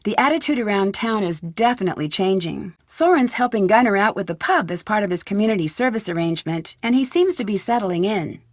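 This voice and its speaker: A woman's voice: medium pitch, lively intonation, brisk, hardly any pauses, no echo, clean studio sound, natural voice. A woman playing a young, inquisitive American detective in a video game, speaking in an earnest, conversational way with an American accent. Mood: reflective, upbeat. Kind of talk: video game voice acting, narrated letter and dialogue.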